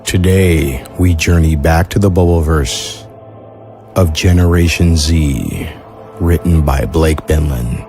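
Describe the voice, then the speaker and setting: A man hosting an audio fiction show, reading a scripted introduction over music. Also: rumbling low. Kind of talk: scripted narration.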